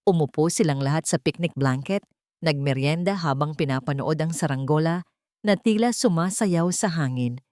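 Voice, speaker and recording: A woman narrating a story expressively and sentimentally, in short measured phrases. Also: deep voice, darkish tone, no room sound. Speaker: audiobook narrator.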